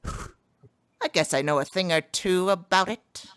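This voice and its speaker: A woman's voice: lowish voice, slow, fairly bright tone, clean tone. A woman voicing a cocky, theatrical masked hero in a cartoon comic dub.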